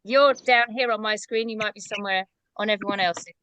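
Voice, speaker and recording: A woman hosting a group video-call chat, welcoming guests in a deliberate way. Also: medium pitch, steady tone, background noise. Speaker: host.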